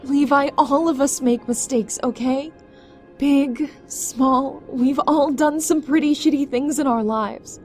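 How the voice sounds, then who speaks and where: A woman's voice: high-pitched, slow, darkish tone. A woman playing a fierce, stubborn yet tender young survivor in an emotional audio drama, speaking with earnest, emphatic pleading. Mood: earnest reassurance. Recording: dramatic music behind the voice.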